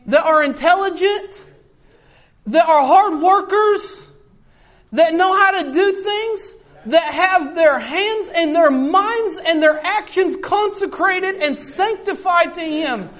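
A man preaching a sermon in a notably high, light voice. He talks earnestly and circles back on his points, often turning to the congregation to address them directly. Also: takes it slowly, fairly bright tone. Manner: earnest, repetitive, often addresses listeners as folks.

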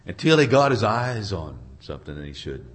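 Male preacher, low voice, hoarse from a cold, halting delivery, folksy informal sermon. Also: big pitch swings. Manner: halting, searching for words, throat-clearing. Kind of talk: informal sermon with congregation responses.

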